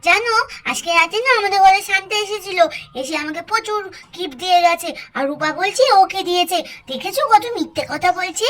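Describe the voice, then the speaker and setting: Very high squabbling small child. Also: female voice, brisk, fairly bright tone. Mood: tattling. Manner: whiny, insistent, argumentative. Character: squabbling, boastful small child in doll cartoon.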